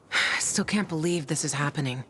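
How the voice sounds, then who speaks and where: A woman's voice: low voice, moderate pace, a bit bright, a little hiss or hum. An actress playing a tough, sardonic young woman guiding a newcomer, brash and teasing, in a game cutscene. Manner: brash, teasing, swears freely.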